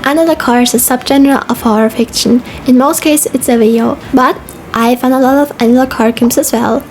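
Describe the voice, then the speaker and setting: High-voiced young woman narrating over music. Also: steady tone, brisk, a little mellow. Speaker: video essay host and streamer. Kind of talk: casual scripted video essay narration. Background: background music.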